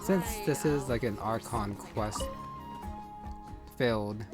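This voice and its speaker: A man's voice: fairly low pitch, slow pace, over loud music. A man giving casual, hesitant game playthrough commentary, rambling and thinking aloud as he goes. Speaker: gaming video creator.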